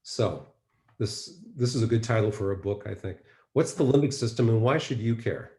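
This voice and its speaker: Low-voiced man lecturing in an echoey room. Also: moderate pace, darkish tone. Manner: explanatory, uses rhetorical questions. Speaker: presenter, mind-body medicine practitioner. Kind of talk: conversational webinar lecture. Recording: roomy, echoing webinar audio.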